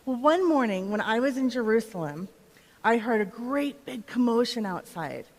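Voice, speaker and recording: A woman playing an excited eyewitness to Jesus, telling her story directly to listeners in a live church drama. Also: on the high side, normal speed. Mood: awed, eager. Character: excited woman of Bethany, Lazarus's sister, witnessing Jesus. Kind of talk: dramatic monologue in a church performance. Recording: reverberant hall.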